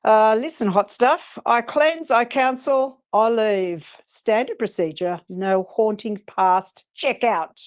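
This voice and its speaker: A woman playing a sassy, businesslike psychic investigator in a dramatic paranormal romance reading, with a wry, flirty manner. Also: on the high side. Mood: dry, flirty. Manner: sassy, wry, deliberate delivery.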